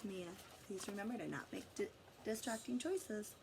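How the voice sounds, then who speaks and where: A woman reading a picture book aloud expressively to children, pausing to guide them with questions. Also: medium pitch, animated, background noise. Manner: pauses to question and guide young listeners. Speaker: read-aloud storyteller for children.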